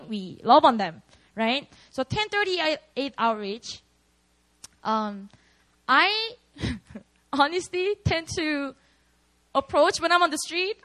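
High-voiced Korean-accented woman preaching. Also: a bit bright, low-quality recording. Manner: candid, chatty, laughs often. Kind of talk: prayer meeting sermon.

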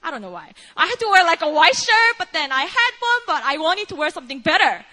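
A young woman from Korea preaches in English with a clear Korean accent. Her voice is high, bright and ringing, and she talks earnestly, filling her sentences with "like" and "you know." The delivery is lively and personal, like a sermon built around her own story. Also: quick pace.

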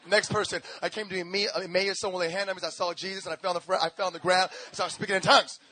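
A man's voice: high-pitched, flat delivery, quick pace, sharp tone. A young man from Korea, a pastor, praying and then telling his testimony in a casual, slangy way, acting out quoted voices. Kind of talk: sermon with prayer and testimony.

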